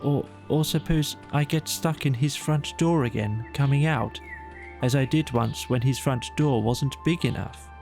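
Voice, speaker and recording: A man voicing a gentle, slow-witted male teddy bear who muses aloud and sings little songs. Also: medium pitch, gravelly, faint music behind. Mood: musing. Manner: dreamy, musing, sing-song.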